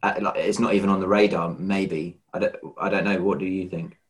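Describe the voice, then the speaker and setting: A man's voice: low-pitched, fairly even intonation, brisk. A man hosting a podcast, asking questions in a hesitant way and often repeating words as he searches for them. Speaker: podcast host. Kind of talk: conversational interview.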